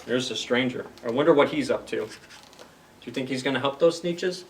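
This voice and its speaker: A man's voice: mid-range voice, brisk, recorded from a distance, low-quality recording. A man hosting a story time for young children, reading aloud and explaining things simply with questions to the listeners.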